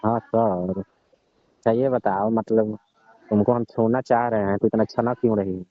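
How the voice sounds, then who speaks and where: A man's voice: lowish voice, fairly even intonation, measured pace, no echo, natural voice. A man playing a pushy, leering coaching teacher, needling and insisting in casual conversation. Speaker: performer in a comic prank-style phone call skit. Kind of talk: casual phone conversation skit. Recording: muffled lo-fi phone call recording.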